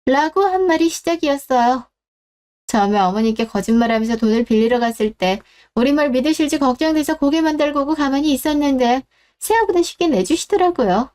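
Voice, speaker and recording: A scheming daughter-in-law in her thirties talks with mocking condescension under her politeness, voiced by a woman for a radio drama. Her voice sits high. She sounds sure of herself and openly scornful. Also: a little mellow, smooth, natural voice.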